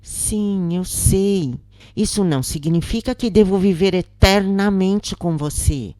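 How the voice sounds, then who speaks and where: A woman's voice: mid-range voice, takes it slowly, dark tone, no echo, voice pushed up, lightly acted. A woman voicing a frank, detached wife in a dramatised story reading. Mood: cool, frank. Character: detached wife falling out of love, frank, cool.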